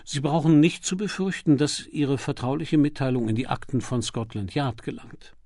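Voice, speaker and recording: A man's voice: medium pitch, normal speed, mellow, no echo, clean studio sound, natural voice. A man voicing a cautious, courteous and discreet police detective in an audiobook, polite and formal. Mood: discreet, reassuring. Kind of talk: audiobook character voice.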